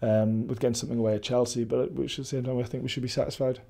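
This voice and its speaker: Man in his forties, Welsh accent, football coach, post-match interview, mellow flat voice, halting restarts. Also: medium pitch, creaky. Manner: measured, thoughtful, with frequent restarts and fillers. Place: indoor press backdrop at a stadium.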